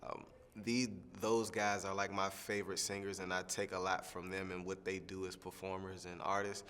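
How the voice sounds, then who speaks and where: A young man, a singing contestant, answering interview questions in a relaxed, rambling way with lots of fillers. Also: sits low, moderate pace, in a large hall, a little hiss or hum. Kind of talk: press junket soundbite interview.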